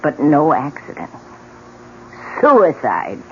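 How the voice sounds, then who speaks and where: A woman's voice: low voice, huge pitch swings, takes it slowly, full character voice. An actress playing a bitter old widowed mother, folksy and needling, in a 1970s radio drama. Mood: ominous, dramatic. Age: older. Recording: muffled, noisy old radio broadcast.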